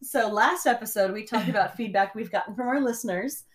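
A woman's voice: fairly high pitch, brisk. A woman co-hosting a podcast in casual chat, self-mocking and emotive, laughing often. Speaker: podcast co-host.

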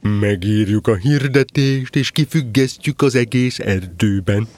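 A man's voice: on the low side, darkish tone, close to an everyday voice. A man playing a pompous, long-winded, self-important owl in an audio drama, hooting between phrases. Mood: officious. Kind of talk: audio drama character acting.

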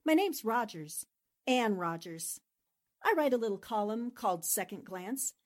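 An actress playing a cool, self-assured newspaper columnist in a 1940s-style radio drama, composed and articulate. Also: female voice, mid-range voice, very animated, measured pace, a little mellow. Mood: cool, triumphant. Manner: composed, articulate, dryly confident.

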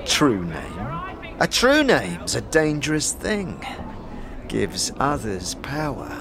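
Man acting twitchy, creaky-voiced beggar storyteller, wildly swooping. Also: medium pitch, huge pitch swings, slow, noisy background, exaggerated voice. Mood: furtive, wary. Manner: repeats phrases, wheezy chuckles and sniggers. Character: twitchy, filthy bug-eyed male beggar storyteller, secretive and eager. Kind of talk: fantasy audio drama acting.

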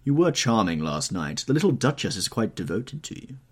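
Audiobook: male narrator doing a witty aristocrat, low and husky, dry epigrams. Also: sing-song, brisk. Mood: wry. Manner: languid epigrams, drawling. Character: witty cynical aristocratic gentleman.

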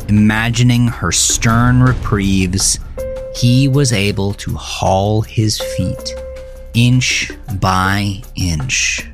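A man reading scripted narration of a horror thriller story in a measured, dramatic storytelling manner. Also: deep voice.

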